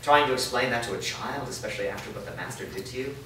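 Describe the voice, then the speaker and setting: A man's voice: lowish voice, fast, natural voice. A man acting a weary, guilt-ridden blacksmith father in a staged fantasy drama reading. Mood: bitter grief. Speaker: actor. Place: echoey room, recorded from a distance at a staged reading. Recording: echoey room, distant mic, clear background noise.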